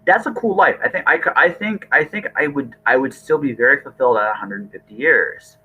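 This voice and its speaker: Husky man on a thin, phone-like line. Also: medium pitch, animated, fairly quick, soft background music. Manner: rambling, earnest, often repeats and restarts phrases. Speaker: podcast host. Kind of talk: casual podcast conversation.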